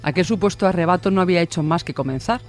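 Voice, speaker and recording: Male narrator for scripted true crime, slightly high voice over faint music. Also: very fast.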